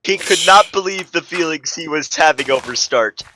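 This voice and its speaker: A man's voice: on the high side, lively intonation, normal speed, sharp tone, a little hiss or hum, low-quality recording. A man giving a comedic dramatic reading of fan fiction as narrator, stumbling and correcting himself.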